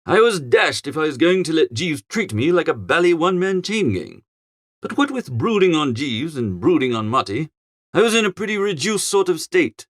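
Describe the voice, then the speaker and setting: A man playing a flustered, foppish young English gentleman who narrates a comic audiobook in a chatty, melodramatic, exasperated way. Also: fairly high pitch, big pitch swings, moderate pace, dry recording, clean studio sound. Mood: rueful.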